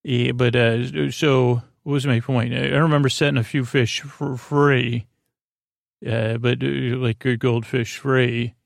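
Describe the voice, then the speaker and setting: A man hosting a bedtime podcast in a creaky, gravelly voice, rambling and halting as thoughts trail off. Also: medium pitch, steady tone, no echo, studio quality. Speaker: podcast host.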